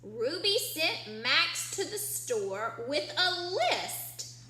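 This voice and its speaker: A woman's voice: high-pitched, big pitch swings, drawn-out words, pushed and bright. A woman teacher reading a picture book aloud to young children, explaining simply and asking prompting questions. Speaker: early-years teacher. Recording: echoey room with faint background hum.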